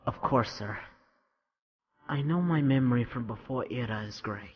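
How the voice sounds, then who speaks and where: A performer playing an earnest, hesitant young male soldier in an audio drama, speaking haltingly. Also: mid-range voice, highs cut off, a little hiss or hum, lightly acted. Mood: frustrated, determined. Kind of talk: fan audio drama acting.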